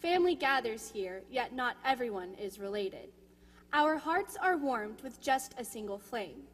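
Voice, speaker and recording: A woman's voice: a little high, animated, a little slow, a bit bright. A teenage young woman reading a prepared personal testimonial aloud in a church service. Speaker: youth member giving a testimonial. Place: large indoor church sanctuary. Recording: reverberant church hall.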